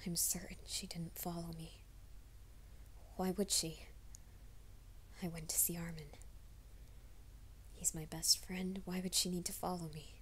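A young man hiding a werewolf nature, voiced by a woman, his voice low and his speech slow. He mutters to himself in urgent, breathy whispers, tense and secretive. A faint hiss of noise sits under this ASMR roleplay audio. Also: little pitch movement, lightly acted. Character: young male werewolf soldier, anxious and secretive.

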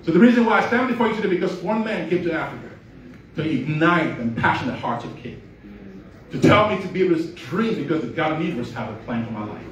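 A man's voice: a little high, animated, fast, slight background noise. Nigerian man giving an earnest speech in a hall. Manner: storytelling, earnest, rising to emphatic peaks. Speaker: award honoree giving a speech. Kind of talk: inspirational speech. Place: large hall at an awards event, red carpet. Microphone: handheld mic, filmed by a phone from the audience. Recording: echoey hall, far from the phone.

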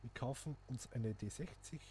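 A man's voice: medium pitch, moderate pace, dark tone, a little hiss or hum. A man, chatting casually to viewers and thinking aloud as he plays a simulation game. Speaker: gaming channel host. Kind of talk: casual gameplay commentary.

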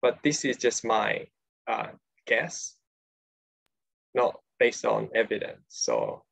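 Slow, gravelly man. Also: fairly low pitch, animated, fairly bright tone. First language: Japanese. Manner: slow, tentative, hedging. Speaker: podcast co-host. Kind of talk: academic discussion over a video call. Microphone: video call. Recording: lo-fi video call audio.

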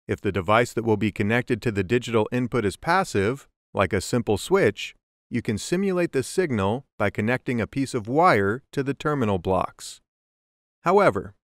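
A man's voice: medium pitch, no echo. Man narrating a tutorial, dry close sound. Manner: clear, methodical explaining. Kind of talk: scripted instructional narration. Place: close, dry voice-over recording.

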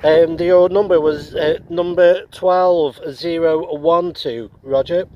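A man's voice: fairly high pitch, steady tone, slow pace, muffled recording, slight background noise. A middle-aged man chatting casually and a little hesitantly outdoors, as an amateur radio operator making a vlog. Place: outdoors on open heathland by a road.

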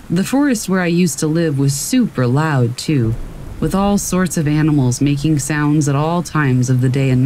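Calm woman narrating over rain. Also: deep voice, poor audio. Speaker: narrator reading listener stories. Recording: faint rain noise behind.

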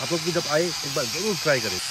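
A man's voice: a little high, expressive, quick pace, very noisy. A young man doing casual travel-vlog narration outdoors on a helmet and selfie camera, chatting like a tour guide and calling out to his viewers. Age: young adult. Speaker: motorcycle travel vlogger. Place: outdoors on hill roads and at a roadside cafe. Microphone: helmet camera and handheld selfie camera. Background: background music and passing vehicles.

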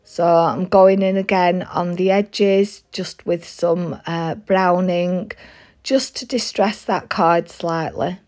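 Slow croaky woman with a cold. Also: on the low side. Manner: relaxed, frequent ums while demonstrating. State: head cold, slightly croaky voice. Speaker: craft tutorial host. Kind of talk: step-by-step craft demonstration. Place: craft desk seen from above.

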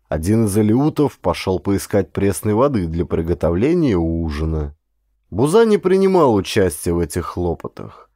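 Low, mellow male audiobook narrator. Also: no echo, studio quality. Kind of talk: scripted dramatic audiobook narration of an adventure novel.

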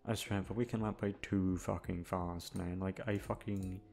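Gravelly, hesitant young man rambling. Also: low-pitched, fairly even intonation, moderate pace, a little mellow. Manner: rambling, self-conscious, hesitant. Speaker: audio roleplay voice actor. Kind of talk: casual, unscripted outro chat.

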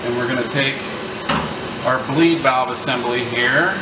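Male technician, thirties, mid-pitched voice, casual instructional walkthrough, recorded over clearly audible machine hum. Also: unhurried. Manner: informal, step-by-step explaining. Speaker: technician demonstrating equipment maintenance. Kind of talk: casual hands-on instructional demonstration. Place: indoor lab among large machines. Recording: roomy, distant mic, loud machine hum. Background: air conditioning and machinery noise.

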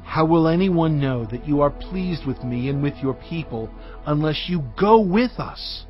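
An actor playing Moses in a dramatized audio Bible, speaking in an earnest, pleading, drawn-out way. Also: male voice, on the high side, expressive. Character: Moses, pleading humble leader, adult man. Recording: slightly dull audio with music bed.

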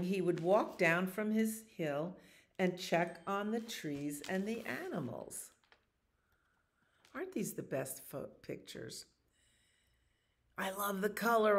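A woman in her sixties reads a picture book aloud for children, pausing for casual asides that explain the pictures in an unhurried manner. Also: lowish voice, a bit bright. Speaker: children's storytime reader. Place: small library children's room.

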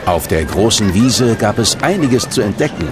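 A man narrates a children's audio drama from a script. His voice sits fairly low and moves expressively across a wide range. Music plays behind the narration. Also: sing-song, moderate pace.

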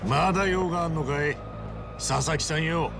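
An actor playing an earnest, hot-tempered former yakuza hero in a video game, with rough but decent speech. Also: male voice, a little high, normal speed, background noise. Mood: cool, stern. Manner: rough slangy speech, polite to workmates. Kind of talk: dramatic video game voice acting.

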